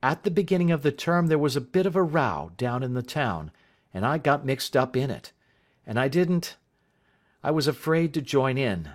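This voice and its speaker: A man's voice: medium pitch, big pitch swings, normal speed, voice pushed up, natural voice. A man reading aloud, voicing a nervous, polite teenage schoolboy who answers a teacher hesitantly. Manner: hesitant, polite, saying sir.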